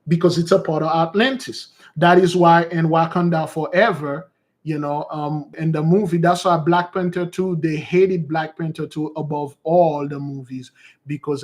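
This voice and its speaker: A man speaks in a somewhat high voice, teaching his listeners in a loose, unscripted way. He circles back and repeats himself as he goes. He calls his audience "beloved brothers and sisters" like a preacher. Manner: rambling and repetitive, addresses listeners as beloved. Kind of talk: informal teaching monologue.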